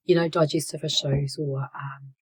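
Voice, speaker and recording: A woman in her sixties talking casually to her channel, hoarse and croaky from a cold, with many fillers and restarts, sometimes dropping to a whisper. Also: low voice, little pitch movement, moderate pace. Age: 60s. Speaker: channel host. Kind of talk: casual vlog talk.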